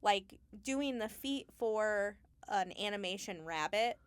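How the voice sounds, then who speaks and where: Woman, interview guest, mid-range pitch, informal, giggly, conversational, dry recording. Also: lively intonation, takes it slowly, smooth, no echo. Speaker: Foley artist, interview guest.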